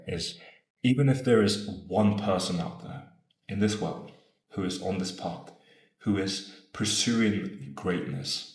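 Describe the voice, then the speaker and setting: A man's voice: low-pitched, darkish tone, roomy sound. A man in his twenties talking casually and earnestly to camera, rambling a bit, asking "right?" and swearing now and then. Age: 20s. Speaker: vlogger. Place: room in Bogota.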